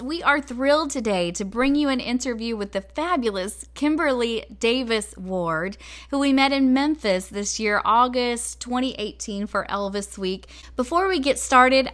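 A woman's voice: medium pitch, fairly bright tone. A woman co-hosting a podcast, delivering an introduction and announcements. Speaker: podcast co-host. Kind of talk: scripted show introduction and announcements.

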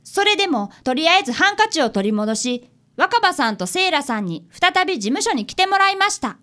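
A woman's voice: extremely high pitch, very animated, brisk, bright, forceful tone, full character voice. A woman playing a polite, earnest fifth-grade boy who is an apprentice detective and narrator in a radio drama. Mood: bright narrating.

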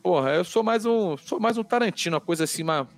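A man with a Carioca accent, a podcast guest chatting in an animated, casual way and swearing often. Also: high-pitched, fast talker. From Rio de Janeiro, Brazil. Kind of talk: casual chat.

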